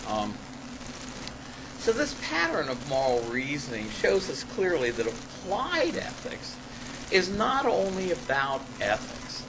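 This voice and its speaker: Man lecturing over clearly noisy recording. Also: a little high, wildly swooping pitch, takes it slowly. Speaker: college ethics lecturer and paper author. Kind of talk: reading an academic paper aloud to a class with asides. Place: classroom in front of students. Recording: noisy amateur classroom recording.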